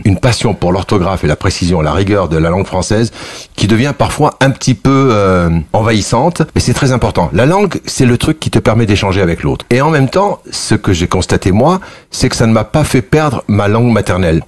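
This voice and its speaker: A man in his sixties from Algeria, with Kabyle as his first language, giving thoughtful interview answers as a former journalist, often pausing and restarting. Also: fairly low pitch, a little mellow. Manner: thoughtful, frequent restarts and hesitations.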